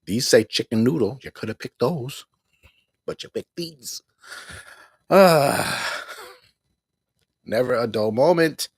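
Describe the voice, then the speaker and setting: A middle-aged man talks loosely on a home livestream, circling back over the same points and chuckling now and then. His low voice is husky and gravelly and swings up and down a lot. The sound is close and dry. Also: expressive, takes it slowly, long pauses, no room sound. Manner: rambling, repeats himself, chuckles often. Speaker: livestream host. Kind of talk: casual live broadcast chat.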